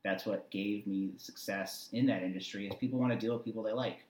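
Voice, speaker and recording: A man in his twenties telling stories casually as a guest, animated and repeating himself for emphasis. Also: on the low side, fast. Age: 20s. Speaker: interview guest. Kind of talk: casual conversational storytelling. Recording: echoey room sound.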